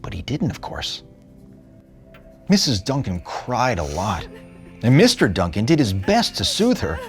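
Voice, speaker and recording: Male storybook narrator, expressive and theatrical, very wide pitch swings, faint music underneath. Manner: theatrical, dramatic phrasing and pauses. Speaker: storybook reader.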